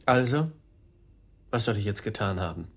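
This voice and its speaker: An actor playing a dutiful but scheming nephew in a radio crime drama, with a hesitant, questioning way of talking. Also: male voice, fairly low pitch, animated, moderate pace. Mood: baffled, indignant.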